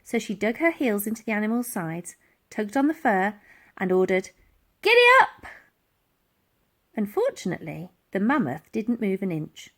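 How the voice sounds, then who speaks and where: A woman narrating a children's adventure story in an animated storytelling style. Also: mid-range voice, normal speed. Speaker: audiobook reader.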